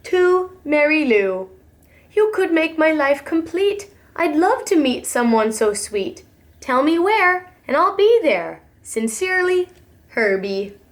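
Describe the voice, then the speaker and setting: A woman's voice: sits high, big pitch swings, slow, voice pushed up, exaggerated voice. A woman voicing a shy, earnest, lovesick male slug in a children's storybook, speaking in singsong rhyme. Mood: hopeful, yearning. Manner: earnest, singsong rhyming verse.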